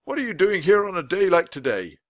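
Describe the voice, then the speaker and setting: A man voicing a tall, thin, polite older gentleman, speaking in a proper, old-fashioned way. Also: sits high, big pitch swings, a little fast, no room sound, lo-fi sound, voice pushed up. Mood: formal.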